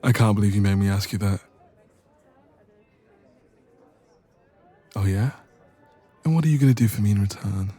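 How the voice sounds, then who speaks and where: A man playing a smitten, shy and teasing young best friend in a romantic audio roleplay, speaking softly and intimately with some hesitation. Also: lowish voice, quick pace, faint music behind, close to an everyday voice. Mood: playful, affectionate.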